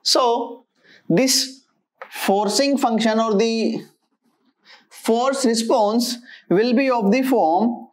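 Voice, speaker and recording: Slow, higher-voiced woman lecturing. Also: darkish tone. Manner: methodical, halting while working through equations. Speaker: engineering lecturer. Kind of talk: step-by-step technical lecture.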